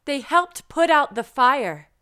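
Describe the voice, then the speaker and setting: A woman's voice: high voice, big pitch swings, slow pace, pushed and bright. A woman reading short practice sentences for language learners, carefully enunciated. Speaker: language teacher. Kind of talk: slow drill sentences for learners.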